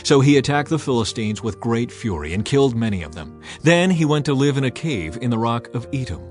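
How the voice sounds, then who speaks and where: Expressive man narrating scripture over music. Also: mid-range voice, fairly quick. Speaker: audiobook narrator. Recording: music behind the voice.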